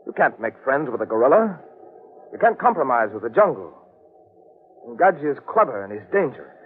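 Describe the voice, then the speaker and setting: An actor playing a feverish, brooding gorilla hunter with a grave, dramatic delivery in a 1940s radio mystery. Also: male voice, mid-range voice, very animated, brisk, a little hiss or hum, close to an everyday voice. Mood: wary, stern. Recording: tinny vintage radio recording.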